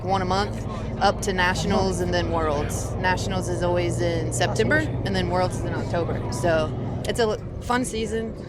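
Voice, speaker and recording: A woman firefighter from Kentucky answering interview questions in a relaxed, casual way with frequent fillers. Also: fairly low pitch, noisy background. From Lexington, Kentucky, USA. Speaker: firefighter guest.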